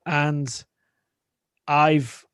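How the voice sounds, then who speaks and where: A man's voice: fairly high pitch, flat delivery, drawn-out words, a bit bright, clean tone. Chatty man hosting a podcast. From United Kingdom. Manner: rambling, repeats words while thinking aloud. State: tired after a day of shooting. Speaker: podcast host. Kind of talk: casual remote interview chat.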